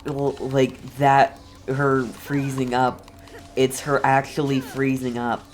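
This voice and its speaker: Very low-voiced woman streaming a game. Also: level tone, takes it slowly, slight background noise. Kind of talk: casual reactive gameplay commentary.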